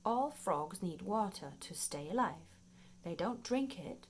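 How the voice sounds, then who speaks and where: A woman reading a children's nature book aloud in an expressive, playful storytime manner, paced for young listeners. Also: mid-range voice, unhurried, slight background noise. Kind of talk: read-aloud children's nonfiction storytime narration.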